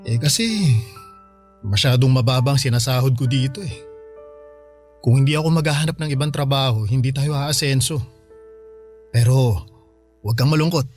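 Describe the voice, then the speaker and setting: A man playing a gentle, reassuring uncle in a Filipino radio drama. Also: mid-range voice, normal speed, pauses often, faint music behind, natural voice. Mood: gently regretful. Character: gentle, reassuring uncle leaving to work abroad.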